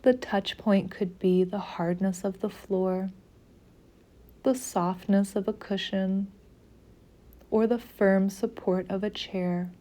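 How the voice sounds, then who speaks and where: A woman guiding a meditation in a soothing, measured narration with long pauses between phrases. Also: medium pitch, fairly even intonation, mellow. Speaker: meditation guide. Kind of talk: slow guided meditation narration.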